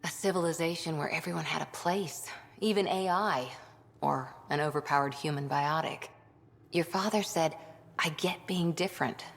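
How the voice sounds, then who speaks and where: Low-voiced, earnest ex-commando woman. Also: normal speed, gravelly. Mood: reflective, earnest. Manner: frank, reflective, clipped phrases. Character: earnest, wounded former commando, longing to belong. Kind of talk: video game dialogue acting. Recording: long reverberant hall sound.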